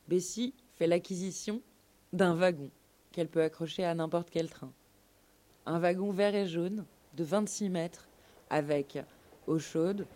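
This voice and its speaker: A woman narrating a scripted radio documentary story in measured, literary phrasing. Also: lowish voice, mellow.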